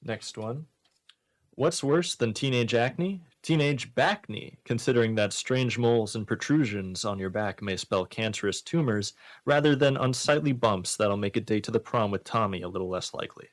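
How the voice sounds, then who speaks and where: A man's voice: medium pitch, moderate pace. A man hosting a comedy radio show, reading out one-liner jokes and bantering casually.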